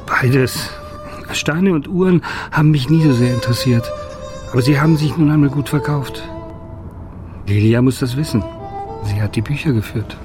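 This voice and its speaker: An actor playing a dignified, nostalgic old Polish war survivor and photographer in a radio drama, reminiscing in a measured way. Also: male voice, medium pitch, music in the background, natural voice. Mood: dry, proud.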